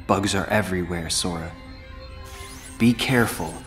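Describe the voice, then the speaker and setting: A man's voice: low voice, moderate pace, fairly bright tone, faint music behind. A young man playing a brooding, self-sacrificing teenage boy warrior in a game cutscene, grave and restrained. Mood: somber, earnest. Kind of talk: video game cutscene acting.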